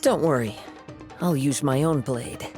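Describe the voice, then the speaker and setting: An actor playing a calm young male wandering samurai in a game, measured and poetic. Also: mid-range voice, over loud music, lightly acted. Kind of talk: game voice acting.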